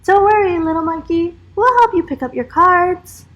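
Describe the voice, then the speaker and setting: Little girl voiced by a woman, storybook, very high pitch, sweet, helpful, cheerful. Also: moderate pace, lo-fi sound. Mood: excited, reassuring. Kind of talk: children's storybook character voice.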